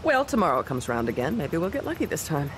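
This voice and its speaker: An actress playing an imperious, manipulative commander's wife in an audio drama, haughty and theatrical. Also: female voice, low voice, sing-song, quick pace, noisy background. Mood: insinuating, persuasive.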